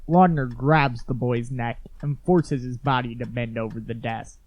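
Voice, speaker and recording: A man's voice: mid-range voice, steady tone, unhurried, no echo, dull audio. A man reading scripted story narration as the narrator of an audio drama. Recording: faint background noise.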